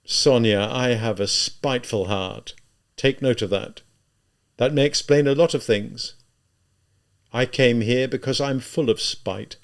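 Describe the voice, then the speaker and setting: A man voicing a tormented, spiteful young murderer in an audiobook, halting and emphatic as he reproaches himself. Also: medium pitch, lightly acted. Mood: self-loathing.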